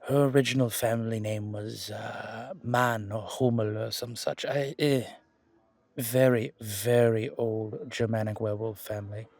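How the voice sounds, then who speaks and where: A man's voice: on the low side, steady tone, measured pace, gravelly, darkish tone, no room sound. A man playing a charming, flirtatious male museum guide in an audio roleplay, chatting intimately with genteel, hesitant asides. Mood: chatty, informative. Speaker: character performer in an audio roleplay. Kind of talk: intimate ASMR roleplay monologue, conversational.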